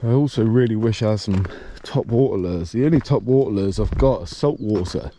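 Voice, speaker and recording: A man's voice: lowish voice, brisk, a little hiss or hum. Bunged-up man vlogging outdoors by a lake. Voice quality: slightly nasal, bunged up. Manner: relaxed, explanatory, thinking aloud. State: blocked nose. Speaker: fishing vlogger. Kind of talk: casual outdoor vlog narration. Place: outdoors by a large dam.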